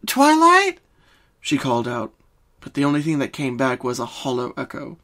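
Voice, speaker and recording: A man's voice: medium pitch, fairly even intonation, brisk. A man reading scripted story narration as a dramatic narrator.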